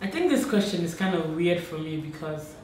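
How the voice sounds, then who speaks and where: A woman's voice: on the low side, very animated, a little fast, a little mellow, far from the mic, slight background noise. A young woman in her twenties from Nigeria, joking playfully about her older sister in a casual chat at home. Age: 20s. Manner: teasing, playful. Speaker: guest, host's younger sister. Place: bright home room, indoors. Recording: echoey room, voice a bit off mic.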